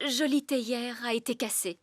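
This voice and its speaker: A woman voicing a brisk, dryly polite nanny of a royal household in a cartoon dub, composed and matter-of-fact. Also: fairly high pitch, steady tone, no room sound, studio quality, close to an everyday voice. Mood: deferential.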